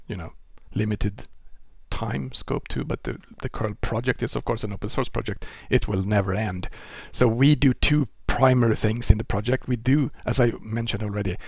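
Man, Swedish accent, 40s, low-ish husky voice, relaxed lecture, headset mic, dry sound. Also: no echo. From Sweden. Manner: relaxed explaining, with frequent restarts and fillers. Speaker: presenter. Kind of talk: technical lecture. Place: indoor room.

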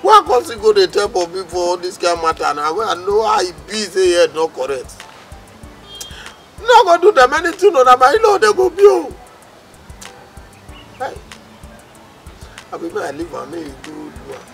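Plaintive high-voiced Nigerian man acting a lovesick fool. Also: expressive, brisk, fairly bright tone, faint music behind. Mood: whining, worried. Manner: plaintive, hesitant. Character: lovesick, self-pitying, pleading man, broke and naive. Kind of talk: comedy drama acting in Nigerian Pidgin.

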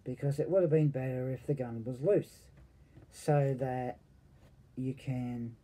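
A man in his forties casually reviewing a toy at home, hesitant and often trailing off quietly, recorded on a webcam. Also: mid-range voice, fairly even intonation, a little slow. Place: home room full of toys and posters.

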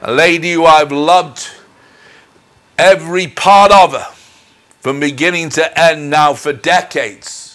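A middle-aged man preaches his personal testimony in a fairly high voice for a man. He speaks very slowly and deliberately, with earnest, measured phrasing. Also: a bit bright. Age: middle-aged or older. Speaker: minister. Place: indoors in front of a dark curtain. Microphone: lapel mic.

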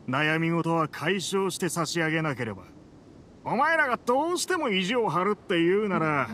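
A man voicing a threatening middle-aged mercenary leader in an anime game, taunting and rough-spoken. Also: a little high. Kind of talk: Japanese anime game voice acting. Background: background music.

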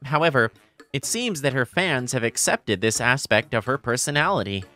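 A man's voice: medium pitch, animated, normal speed, music in the background, close to an everyday voice. A man playing an easygoing teenage boy narrating a romantic comedy manga story, explanatory and fondly exasperated. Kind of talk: scripted manga narration and dialogue.

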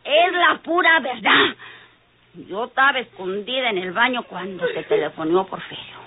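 A woman's voice: on the high side, lively intonation, moderate pace, a crowd in the background, lightly acted. An actress playing a drunken, defiant, bitter woman with a Mexican accent and rural slang in an old radio soap. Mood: bitter, confessing. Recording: muffled, noisy old radio recording.

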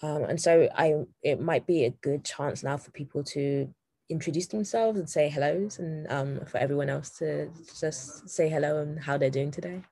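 A woman's voice: low-pitched, moderate pace, dark tone, no room sound. A woman facilitating a community meeting over a video call, speaking with frequent hesitations. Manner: hesitant, many ums.

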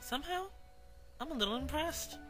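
A woman's voice: sits high, lively intonation, normal speed. A woman playing a bitter, sarcastic young daughter with a snide delivery in a parody game dub. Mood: scornful. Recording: faint game music under the voice.

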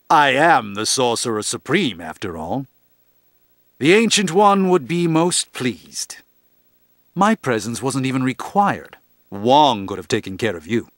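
An actor playing a proud, middle-aged sorcerer superhero in a video game, with pompous, formal, declamatory delivery and a gravelly voice. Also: male voice, medium pitch, fairly bright tone, no room sound, clean studio sound. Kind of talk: theatrical video game voice lines.

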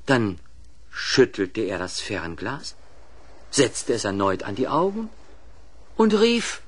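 A man narrating a children's radio play in an expressive storytelling manner. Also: on the low side, takes it slowly, husky.

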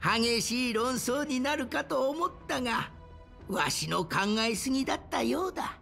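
A woman's voice: sits high, lively intonation, moderate pace, creaky, fairly bright tone, faint music behind, exaggerated voice. A woman voicing a wry, pompous, old-fashioned elder ruler in a fantasy game, with leisurely theatrical delivery. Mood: amused, wry.

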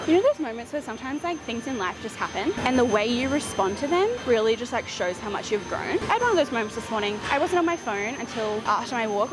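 A young Australian woman with a highish, animated voice vlogs her workout through a clip-on mic. She talks casually and candidly, poking fun at herself between bouts of exertion. Loud gym noise runs behind her. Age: 20s. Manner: self-deprecating, candid, slangy. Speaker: fitness vlogger. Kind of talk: casual, chatty self-talk to camera during a workout. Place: large concrete-walled gym, indoors. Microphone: clip-on mic on her top. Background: loud gym background noise.

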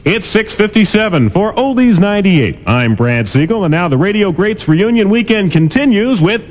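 A man as a radio newscaster, reading a news and sports update in a crisp, measured way. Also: high voice, animated. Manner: crisp, measured newsreader delivery.

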